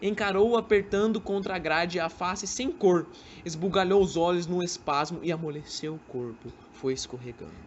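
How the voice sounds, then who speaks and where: A woman's voice: lowish voice, normal speed. Woman narrating, slightly muffled recording. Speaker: narrator of a student radio drama. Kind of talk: scripted dramatic story narration. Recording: dull amateur recording with faint noise.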